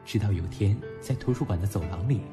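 A man playing a blunt, teasing young university student who is quick to flare up, in an audio drama that he also narrates. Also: on the low side, lightly acted. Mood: reflective narration. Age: young adult. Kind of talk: Mandarin audio drama acting and first-person narration. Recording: background music under the voice.

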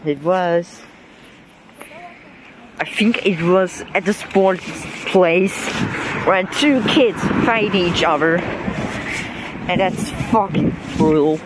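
A man's voice: sits high, slow pace, long pauses, very noisy. Young German-accented man vlogging outdoors. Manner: rambling, self-deprecating, swears casually. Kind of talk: casual walking vlog. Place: outdoors on streets and on a bus. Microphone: phone held while walking.

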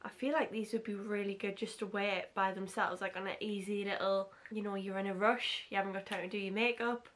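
A young woman in her twenties with a husky voice, chatting casually through a makeup tutorial in a small bedroom, gushing over products. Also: mid-range voice, fairly even intonation, a little fast, few pauses, fairly bright tone. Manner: chatty and gushing, heavy use of "like". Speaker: beauty vlogger.

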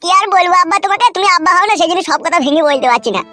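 A man acts out a hapless young cartoon son from a village. He pleads melodramatically in a high, very rapid voice, with faint music underneath. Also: lively intonation, sharp tone, exaggerated voice. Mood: defensive. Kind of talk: comic cartoon dialogue.

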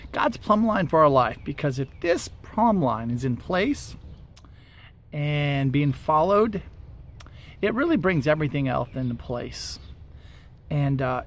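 Higher-voiced American man, casual devotional talk. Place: outdoors by a wooded parking lot. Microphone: camera or phone at arm's length. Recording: outdoor ambient noise.

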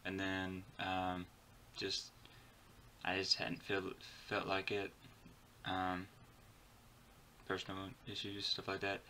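Low-voiced young man, bright tone. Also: monotone, slow pace, pauses often. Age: young adult. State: tired. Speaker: reaction video host. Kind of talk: casual live reaction commentary. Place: small bedroom, indoors. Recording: faint background noise.

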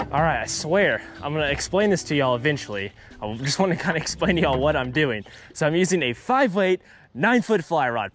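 A young man chatting to viewers while fishing from a small boat on a pond, excitable and laughing often. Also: mid-range voice, slight background noise. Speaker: fishing vlogger. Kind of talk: casual running commentary while fishing. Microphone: head-mounted action camera.

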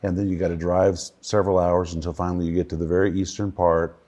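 A man in his sixties from the United States, a guest pastor telling relaxed anecdotes in a studio interview. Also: low voice, quick pace, muffled recording. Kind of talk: casual storytelling interview. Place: cozy studio set with armchairs.

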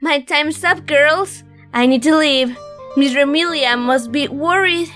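A woman's voice: high-pitched, a little slow, fairly bright tone, soft background music. A woman voicing a naive, devoted maid girl with an innocent, blunt manner in a parody cartoon. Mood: earnest, devoted. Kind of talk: animated parody cartoon acting.

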